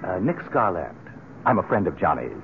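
Deep-voiced, suave con man. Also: very animated, fairly quick. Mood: slick, insinuating. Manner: suave, polite. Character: smooth, slippery male con man feigning officialdom. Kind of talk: radio drama acting. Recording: muffled, noisy old radio recording.

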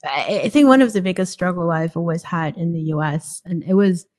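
A woman's voice: fairly low pitch, fairly quick, a little mellow. A woman from Hong Kong hosting a podcast, speaking in an earnest, fluent conversational way. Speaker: podcast host. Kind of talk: conversational interview talk.

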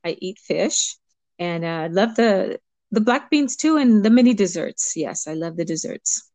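A middle-aged woman from Cuba, a guest coach, speaking thoughtfully with ums and pauses. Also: medium pitch, lively intonation, no room sound. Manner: warm, reflective, pauses with ums. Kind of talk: interview conversation.

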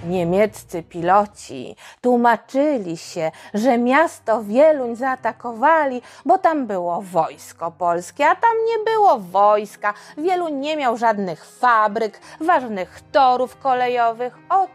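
A woman's voice: a little high, big pitch swings, slow, few pauses, soft background music. A woman narrating history for children as a podcast host, explaining things and addressing listeners directly.

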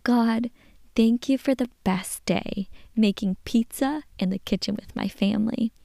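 A woman reading a young girl's prayer letter in a soft whisper. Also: a little high, vocal fry, no echo, studio quality.

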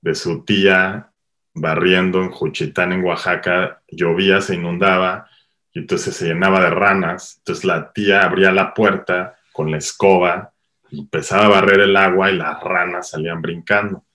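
A middle-aged Mexican man with a Mexican accent, a guest filmmaker talking animatedly in a casual interview, full of quick asides. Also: low-pitched. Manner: animated storyteller, quick asides, lots of "o sea".

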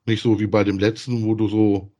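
Low-voiced man in casual podcast chat. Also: level tone, a little fast, warm tone, dull audio. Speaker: podcast co-host. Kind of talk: casual review chat about audio dramas.

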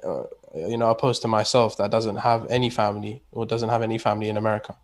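A man's voice: lowish voice, fairly even intonation, fast talker. A man talking casually as a guest, rambling with many restarts and fillers. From England. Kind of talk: casual conversation.

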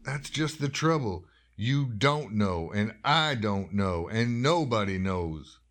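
A man's voice: lowish voice, lively intonation, slow, a bit bright. A man playing an impatient, skeptical small-town doctor in a horror radio drama, brusque and scolding with folksy phrasing. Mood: irritated, uneasy.

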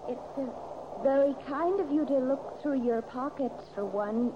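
A woman's voice: high-pitched, a little slow. An actress playing a frightened but plucky young woman in an old radio mystery, with a wry, flustered, hesitant manner. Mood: nervous, plucky. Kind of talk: 1940s radio mystery acting. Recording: muffled, noisy old radio recording.

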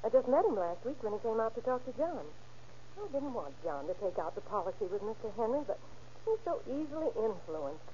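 A fretful wife in a vintage radio crime play. She talks quickly in a soft, mellow tone, on a dull old recording. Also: fairly high pitch, big pitch swings, slight background noise. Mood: fretful, earnest. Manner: worried, polite, fretful. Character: anxious wife of a scheming husband. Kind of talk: old-time radio crime drama acting.

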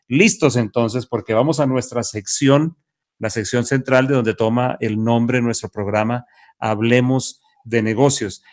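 A man hosting a business podcast, upbeat and encouraging as he introduces segments. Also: mid-range voice. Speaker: podcast host.

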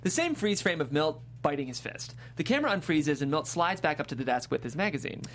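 A man's voice: fairly high pitch, sing-song, quick pace, fairly bright tone. A man narrating the stage directions at a comedy script table read. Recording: faint background noise.

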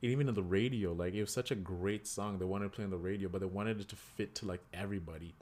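A man's voice: sits low, fast talker. A man co-hosting a podcast, talking casually and animatedly, with lots of "like" and repeated words. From Kenyan heritage. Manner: animated, repeats himself, heavy use of "like". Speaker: podcast co-host.

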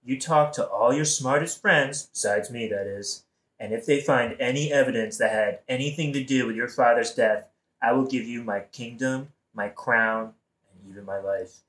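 Man as conniving king, audio play: mid-pitched, casual, conspiratorial, soothingly insincere. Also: moderate pace, a bit bright, natural voice. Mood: placating. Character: smooth-talking scheming king, falsely sympathetic, placating. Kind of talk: modern-language satirical audio play acting. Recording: roomy echo.

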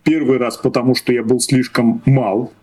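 A man's voice: mid-range voice, flat delivery, normal speed, a little mellow, faint music behind. A man in his thirties from Russia, a podcast guest telling his story in a hesitant way, restarting phrases and laughing often. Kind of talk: casual podcast chat.

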